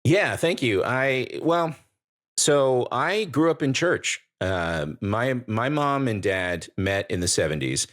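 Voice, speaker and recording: A man in his forties from American Samoa, a guest telling his life story in a relaxed, joking way. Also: mid-range voice, takes it slowly. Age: 40s. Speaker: guest, YouTuber. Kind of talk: casual interview chat.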